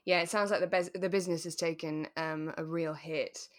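A British woman co-hosting a podcast in casual chat, with a playful, expressive way of retelling things. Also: low-pitched, normal speed. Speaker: podcast co-host. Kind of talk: casual chat discussing a radio drama.

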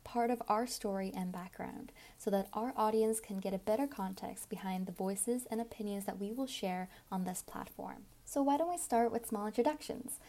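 An eighteen-year-old woman from South Korea hosts a podcast and reads a prepared introduction in a friendly, even way. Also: medium pitch, moderate pace, hardly any pauses. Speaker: podcast host and founder.

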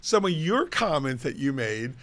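A raspy-voiced man with a Southern Californian accent, co-hosting a casual local politics chat, joking and repeating himself as he talks. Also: medium pitch, sing-song, moderate pace, fairly bright tone. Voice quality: raspy today. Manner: joking, self-deprecating, repeats phrases. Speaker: co-host, city council member.

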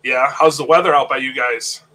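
A man's voice: medium pitch, steady tone, normal speed, bright, forceful tone, rough recording. Nasal-sounding man hosting a live show. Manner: easygoing, repeats words while thinking. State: nasal, getting over an illness. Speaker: host of a live reptile-hobby show. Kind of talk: casual live-stream chat.

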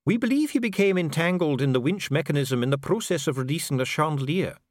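A man voicing a calm dwarf official with a measured, bureaucratic, smoothly courteous manner in an audiobook. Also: a little high, no echo, clean studio sound, voice pushed up, lightly acted. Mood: coolly polite. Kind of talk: audiobook character voice.

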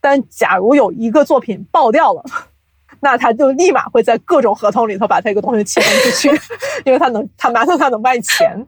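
A woman speaking casually as an interview guest, explaining things in an animated, chuckle-prone way like an industry insider. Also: on the high side, a little fast, breathy edge, no room sound. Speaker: guest, audio drama producer and company CEO.

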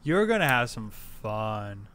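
A young man co-hosting a film podcast, talking casually with bursts of excitement and some swearing. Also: lowish voice, takes it slowly, clean tone. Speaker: podcast co-host. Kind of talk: casual chat.

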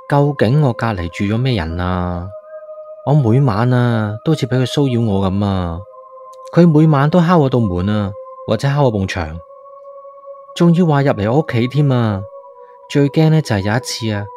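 A man's voice: mid-range voice, darkish tone, close to an everyday voice. A man playing a frightened tenant, narrating a horror radio drama in an anxious, suspenseful way. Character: frightened male tenant recounting his haunting. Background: background music.

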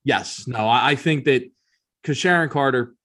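A man's voice: medium pitch, normal speed, fairly bright tone. Casual male podcast co-host, informal and opinionated. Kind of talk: casual chat.

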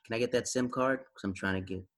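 Man from the US, casual podcast guest, bright tone, easy storytelling. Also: mid-range voice, quick pace. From United States. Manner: relaxed storytelling, acts out quoted reactions. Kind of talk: casual conversational interview.

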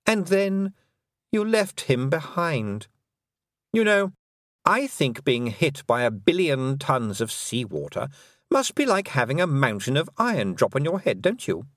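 A man putting on a cruel fairy queen, her voice lifted high and gliding with lively swoops as she purrs sweet, mocking scorn. She is condescending and quietly menacing, the reading close and dry like a storybook told at the mic. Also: a little slow, no room sound. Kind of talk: audiobook character voice.

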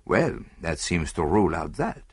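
Narrator as an unhurried Belgian sleuth, French-accented, husky. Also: male voice, low-pitched, no room sound, clean studio sound, full character voice. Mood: calm. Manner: calm, unhurried, precise. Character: calm fussy Belgian male detective.

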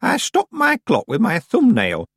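A man voicing a proud, bossy, mischievous very old woman in an audiobook story, with a high, shrill, imperious delivery. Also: wildly swooping pitch, unhurried, creaky, a bit bright, slightly rough, no echo, studio quality, heavily acted. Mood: gleeful, proud. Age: over 100. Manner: imperious, theatrical scolding.

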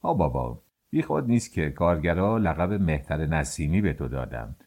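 A man's voice: very deep voice, big pitch swings, a little mellow, voice pushed down, exaggerated voice. A man voicing a middle-aged male master baker who gives orders to his worker in a commanding, teasing way. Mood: bantering.

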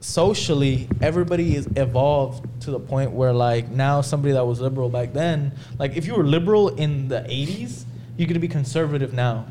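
A man's voice: medium pitch, fairly quick, mellow. A young man on a podcast, chatting casually with friends, swearing, laughing and arguing his point. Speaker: podcast co-host. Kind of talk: casual chat among friends. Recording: clear background noise.

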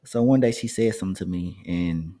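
A man's voice: low voice, fairly quick, warm tone. A man in his thirties telling a personal story in a casual, rambling way, with asides to his listeners. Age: 30s. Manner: conversational, rambling with restarts and asides.

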